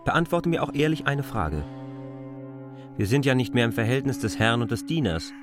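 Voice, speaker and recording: A man's voice: mid-range voice, big pitch swings, moderate pace, music in the background, natural voice. An actor in a literary radio drama playing a proud, domineering master who speaks formally and argumentatively to his former servant. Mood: frank, condescending. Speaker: lead actor.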